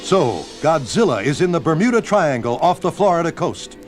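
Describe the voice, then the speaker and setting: Casting: male narrator, portentous delivery, lively pitch, music bed. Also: moderate pace. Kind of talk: scripted dramatic narration.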